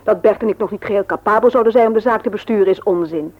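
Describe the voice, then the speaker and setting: A woman's voice: medium pitch, quick pace, natural voice. A woman acting in a radio play as an outspoken, insistent wife. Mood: insistent, accusing. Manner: direct, insistent. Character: outspoken young wife, blunt yet concerned for old uncle. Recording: dull, lo-fi old radio recording.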